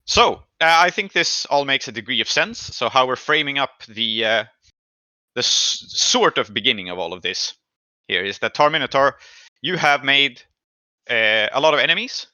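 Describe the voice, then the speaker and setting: A man working as game master, narrating a tabletop session in a halting, deliberate way. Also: medium pitch, animated, sharp tone, no echo, muffled recording.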